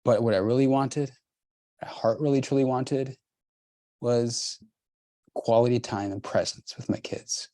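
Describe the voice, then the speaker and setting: A man in middle age leads a motivational workshop, pushing his points with repeated, urging phrases. His voice sits at an ordinary pitch for a man. The sound is close and dry, with no room echo. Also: measured pace, pauses often, mellow. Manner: emphatic, repetitive, urging. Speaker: workshop host and coach. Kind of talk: motivational group coaching.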